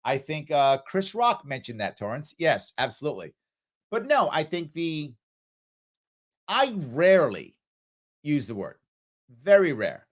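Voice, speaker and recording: A man's voice: on the high side, very animated, measured pace, long pauses. Middle-aged New man hosting live Q&A. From New York City, South Bronx. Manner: reads chat comments aloud, then answers offhand with fillers. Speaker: livestream host answering viewer questions. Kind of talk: live ask-me-anything chat.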